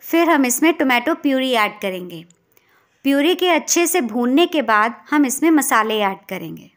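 A woman's voice: high-pitched, lively intonation, moderate pace. A woman from India hosting a cooking channel, narrating a recipe step by step in an instructional way.

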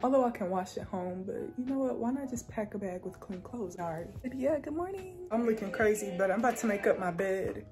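A woman's voice: medium pitch, brisk, hardly any pauses, music in the background. A young woman with a Southern US accent, chatting casually to her phone camera in a small apartment bedroom for her vlog. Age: young adult. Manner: chatty, relaxed, slangy. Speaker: vlogger, college student. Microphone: phone camera at arm's length.

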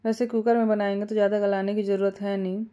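Woman, cooking host, calm step-by-step explainer, mellow and even delivery. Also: mid-range voice, fast. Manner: calm step-by-step instructions with small fillers. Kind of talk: home cooking tutorial voice-over.

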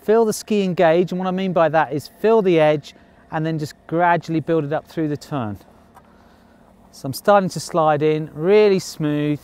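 A man's voice: on the high side, moderate pace, darkish tone, smooth. A man in his thirties giving a ski lesson outdoors on a snowy slope, explaining in a plain, upbeat way with light jokes. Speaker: ski instructor.

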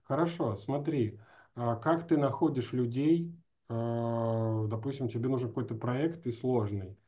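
Male host, forties, soft dark tone, informal and rambling, slightly muffled video-call sound. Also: mid-range voice, measured pace. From Astrakhan, Russia. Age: 40s. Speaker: host, interviewer. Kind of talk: casual conversational interview. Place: small room indoors, video call.

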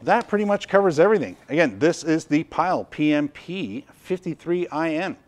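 A man's voice: on the high side, lively intonation, moderate pace. A man in his fifties hosting a casual product demonstration, explaining things in a conversational way. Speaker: product reviewer and video host. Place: indoor studio workshop at a tabletop.

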